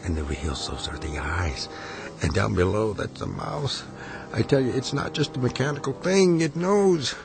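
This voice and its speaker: A man's voice: on the low side, animated, moderate pace, vocal fry, music in the background. A man acting in a radio drama as an obsessed, agitated husband and gambler who mutters and rants. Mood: frantic, accusing. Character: obsessed, raving husband gambler fixated on a slot machine.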